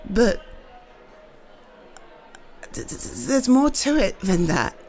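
A British woman playing an affectionate, slightly shy girlfriend in an intimate roleplay, speaking softly and hesitantly to her partner. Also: fairly high pitch, sing-song, heavily acted. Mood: tender, earnest. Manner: soft, hesitant, tender, with halting repeats.

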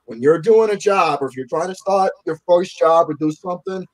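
A man's voice: high voice, brisk, no echo, rough recording. A man chatting casually and handing out advice in an emphatic, somewhat preachy way. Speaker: podcast co-host. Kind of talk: casual chat, giving advice.

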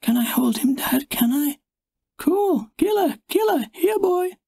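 A man voicing an eager, excited small child in an audiobook story. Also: very high voice, measured pace, creaky, no echo, studio quality, pitched above the performer's own voice, heavily acted.

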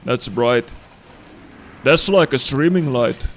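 Young man, non-native english speaker, casual let's-play commentary, excitable, swears, average pitch. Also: takes it slowly. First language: not English. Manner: excitable, swears, talks to viewers. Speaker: gameplay commentator.

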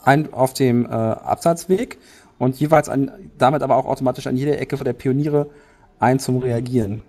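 A man's voice: medium pitch, brisk, dark tone. A man talking casually as a player planning tactics in a tabletop game.

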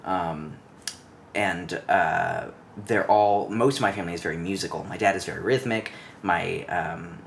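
A man's voice: sits low, unhurried, creaky, a little hiss or hum. A young man in his twenties, a podcast guest, rambling through childhood stories in a casual chat on a living room couch, speaking into a studio mic on a stand. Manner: rambling, many restarts and fillers, animated storytelling. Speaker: podcast guest, cinematographer and actor.

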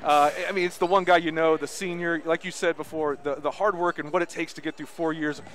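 A man's voice: on the high side, steady tone, brisk, fairly bright tone. A man interviewing a coach after a game, asking long, rambling questions. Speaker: broadcast interviewer.

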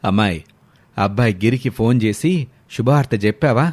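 A man's voice: fairly low pitch, a little fast, darkish tone, pitched below the performer's own voice, natural voice. A man voicing a kindly, generous elderly father-in-law in a family story, affectionate and patriarchal. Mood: pleased, caring.

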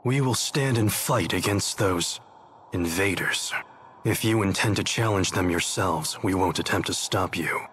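Imposing king, very deep voice, slow, weighty, stern, commanding, video game dub, faint ambience. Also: fairly bright tone, slight background noise.

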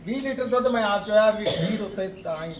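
A man's voice: high-pitched, fast, reverberant, far from the mic, crowd noise. A man with a Punjabi accent, a farmer selling his buffaloes, answering questions in short casual replies with frequent affirmations. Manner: short affirmations, repeats "hanji".

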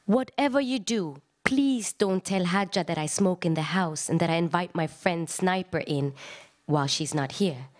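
A woman actor giving an expressive live reading of a literary text, moving between conversational asides and declamation. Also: on the low side, moderate pace.

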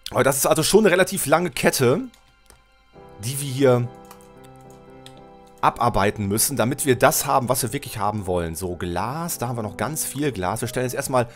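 A middle-aged man with a cold, his voice lower than usual, commenting casually on a building game while he plays, thinking aloud and correcting himself. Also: lively intonation, a little fast, soft background music. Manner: chatty, thinks aloud, self-correcting, addresses viewer. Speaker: Let's Play host. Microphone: headset mic at desk, webcam.

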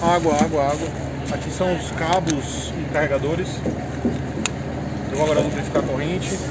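A man's voice: on the high side, fairly even intonation, normal speed, very noisy. A man in his thirties from Brazil chatting casually and joking with viewers while on a motorcycle road trip outdoors, recorded on an action camera. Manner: joking, laid-back, talks to viewers. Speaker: motorcycle vlogger. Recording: music playing behind.

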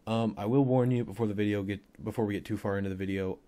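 A young man with a cold and a voice deeper than usual, casually rambling through a football film breakdown with plenty of fillers. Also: steady tone, quick pace, dark tone. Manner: rambling, offhand, thinks aloud with many fillers.

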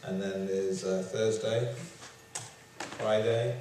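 Young male student host, low-pitched, halting delivery, recorded from afar in a reverberant lecture hall. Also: steady tone, noisy background. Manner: hesitant, many "um" and "uh". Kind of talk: introducing a speaker. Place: large lecture hall at podium. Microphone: far camera. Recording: echoey hall from far off.

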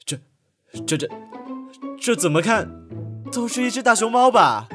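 A man acting in an audio drama as an excitable, stammering man who gushes over cute animals. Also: high-pitched, moderate pace, over music. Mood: shocked. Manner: stammering, gushing.

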